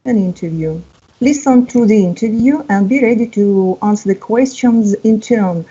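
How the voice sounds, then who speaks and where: A woman's voice: medium pitch, normal speed, dark tone, slightly rough. Hesitant woman teacher in her fifties. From Russia. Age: 50s. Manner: hesitant, many uh fillers, encouraging. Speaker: English teacher. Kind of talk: online exam-prep lesson. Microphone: video call. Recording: dull lo-fi call audio.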